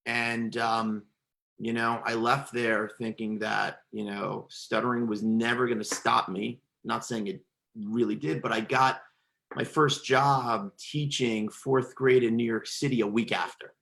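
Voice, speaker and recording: A man's voice: medium pitch, flat delivery, a little slow, gravelly, fairly bright tone. A man who stutters, a podcast guest telling his own story in a reflective, conversational way, with repeated words and restarts. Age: adult.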